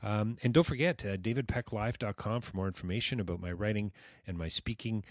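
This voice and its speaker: Low-voiced guy hosting a podcast, lots of "uh" and restarts, dry sound. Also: a little mellow, no echo. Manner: halting, many restarts and fillers. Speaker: podcast host. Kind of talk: casual interview with promo reads.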